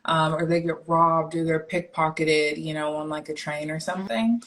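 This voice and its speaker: A woman's voice: deep voice, fairly even intonation, moderate pace, fairly bright tone. A young American woman talking casually as a guest in a conversation. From United States. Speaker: guest, traveling parent. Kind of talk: casual chat.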